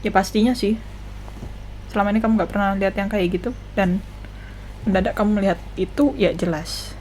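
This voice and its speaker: Female gaming streamer, Indonesian accent, mid-pitched, informal and reactive, with clear game noise behind her. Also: fairly even intonation, fast talker, darkish tone, rough recording. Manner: reactive, informal, talking back to characters. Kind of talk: casual game playthrough commentary.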